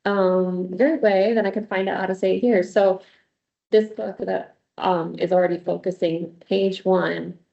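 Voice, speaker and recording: A woman language teacher speaking at a school board workshop in an animated, chatty way. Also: medium pitch, moderate pace, gravelly, highs cut off. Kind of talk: sharing views at a board workshop.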